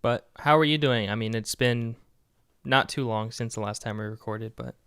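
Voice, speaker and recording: A young man, a student, co-hosting a podcast in relaxed, easygoing casual chat. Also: medium pitch, normal speed, smooth, no echo, clean studio sound. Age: young adult. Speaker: podcast co-host.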